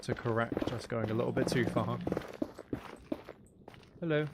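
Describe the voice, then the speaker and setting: A man's voice: mid-range voice, a little slow, heavy background noise. A man giving casual gameplay commentary, muttering and thinking aloud to himself. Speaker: gaming video host.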